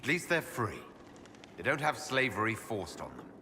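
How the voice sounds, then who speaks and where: An actor playing an earnest, questioning elf leader in a video game, speaking in a measured, direct way. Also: male voice, mid-range voice, very animated, bright, forceful tone. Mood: challenging. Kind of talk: video game dialogue acting. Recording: reverberant hall, faint background noise.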